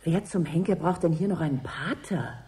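An actress playing a sharp-witted, sceptical young student in a radio play, sardonic and demanding. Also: female voice, low-pitched, normal speed, a little hiss or hum, natural voice. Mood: curt, impatient.

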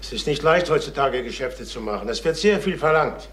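An actor playing a jittery, evasive middle-aged salesman and father in a radio crime play, speaking haltingly with repetitions. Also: medium pitch, lively intonation, a bit bright, roomy sound, slight background noise, lightly acted. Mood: defensive, strained. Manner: halting, repeats himself. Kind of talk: German radio crime drama acting.

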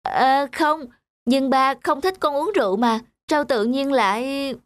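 A woman voicing a headstrong, carefree young daughter in an animated moral tale, girlish and expressive. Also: high voice, unhurried. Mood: puzzled.

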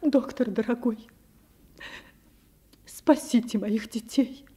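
A woman's voice: on the high side, takes it slowly, mellow. An actress playing a fussy, doting wealthy mother in a radio drama, plaintive, cloying and gushing. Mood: anxious, plaintive. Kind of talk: Soviet radio drama acting.

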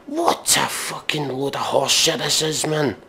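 A man giving a mock dramatic reading in deadpan, with exasperated, scornful, sweary commentary. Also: on the high side, a little slow. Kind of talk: deadpan recitation of pop lyrics with sweary commentary.

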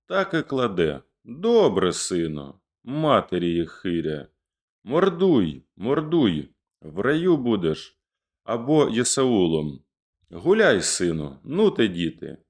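A man's voice: fairly low pitch, huge pitch swings, slow, pitched above the performer's own voice, heavily acted. A man voicing a fierce, commanding male Cossack rebel leader in a declaimed verse reading. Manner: rousing, declamatory.

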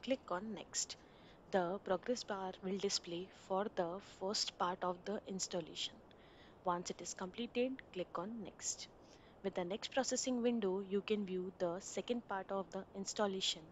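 A woman narrating a screen-recorded software installation walkthrough, giving plain step-by-step instructions over a desktop mic. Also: mid-range voice, steady tone, normal speed, a little hiss or hum.

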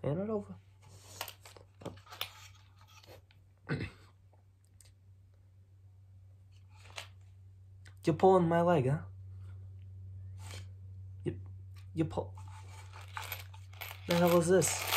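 A young man voicing a gruff, dismissive, streetwise mob boss in a soft-spoken ASMR roleplay, breathy and clipped. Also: fairly high pitch, background noise, natural voice. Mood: suspicious, demanding. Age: young adult. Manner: slow, clipped tough-guy talk with repeated phrases. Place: small dim room at home, indoors.

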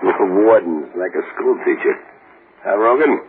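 A man's voice: on the low side, animated, moderate pace, natural voice. A man playing a cocky, scheming condemned gangster with sardonic tough-guy slang and broken grammar, in old-time radio style. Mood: wry. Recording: muffled, hissy old radio recording.

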